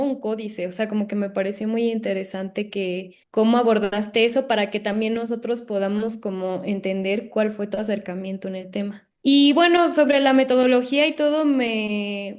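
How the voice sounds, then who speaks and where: Woman, higher voice, chatty audience member on a video call. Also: darkish tone. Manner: chatty and appreciative, with loose fillers. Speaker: audience member asking a question. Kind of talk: casual comment and question. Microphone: online video call.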